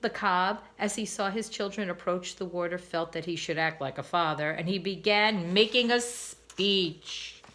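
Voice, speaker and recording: A woman's voice: on the low side, unhurried, few pauses, a bit bright. A woman teacher reading a children's novel aloud, careful with small slips. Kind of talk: storybook read-aloud.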